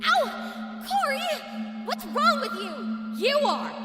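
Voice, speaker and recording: A woman acts out a very high-voiced teenage girl in an audio drama, petulant and quick to bicker. Her bright delivery swings in pitch with every snappy retort, ringing with heavy hall reverb. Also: wildly swooping pitch, heavily acted. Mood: furious. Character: bickering, hot-tempered teenage twin sister. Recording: echoey hall reverb, music behind.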